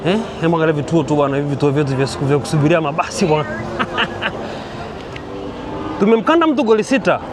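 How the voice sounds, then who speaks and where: A man's voice: a little high, lively intonation, fast, pauses often, noisy background. A Tanzanian man with a Tanzanian accent, chatting and joking to viewers as he films a walking vlog on a busy city street. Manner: joking, chatty, addresses viewers, laughs often. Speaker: vlogger. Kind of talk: casual walking vlog commentary. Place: busy city street outdoors at night celebration. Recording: street recording with loud crowd and music.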